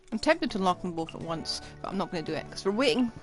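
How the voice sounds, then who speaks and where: A woman streaming a video game, giving casual live commentary. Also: on the low side. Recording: game music behind the voice.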